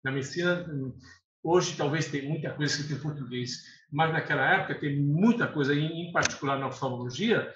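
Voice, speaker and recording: A man's voice: on the high side, brisk. A man in his sixties, born in Lebanon with Arabic as his first language, talking unhurriedly as an interview guest, with fillers and restarts. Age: 60s. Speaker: guest, eye doctor. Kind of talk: conversational interview. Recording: echoey room, slightly dull sound, faint noise.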